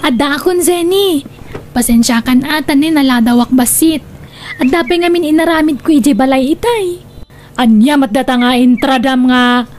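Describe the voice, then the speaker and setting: A woman's voice: high-pitched, normal speed, a little mellow, slight background noise, close to an everyday voice. A woman playing a meek, obliging laundrywoman in a radio drama, deferential, with an Ilocano accent. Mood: apologetic. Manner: deferential, accommodating. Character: meek, obliging poor laundrywoman, a dutiful daughter. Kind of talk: Filipino radio drama acting.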